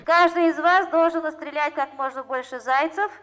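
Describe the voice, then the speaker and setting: A woman's voice: high voice, normal speed, natural voice. An actress playing an officious young woman who runs party games in a Soviet radio play, with bossy, stilted announcer phrasing. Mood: insistent. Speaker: evening entertainer. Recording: noisy crowd behind. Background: noisy crowd in a hall.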